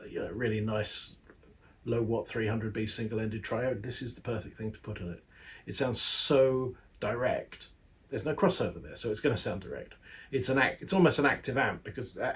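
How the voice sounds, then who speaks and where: A man, an audio magazine editor, giving a casual spoken product review in a chatty, rambling way with playful asides. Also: mid-range voice.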